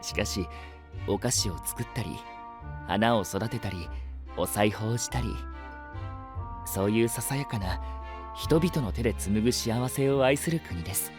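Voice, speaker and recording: A man's voice: fairly low pitch, unhurried, pauses often, over music, natural voice. A man playing a gracious, courteous knight who speaks formally and politely in an anime-style audio drama. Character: gracious, polite male knight hosting guests.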